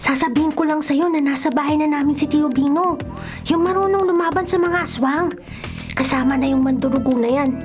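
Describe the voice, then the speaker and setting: A woman plays a loyal little Filipino boy in a radio play. His voice is high, eager and confiding, with music behind it. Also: brisk, lightly acted. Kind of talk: Filipino radio drama acting. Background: background music.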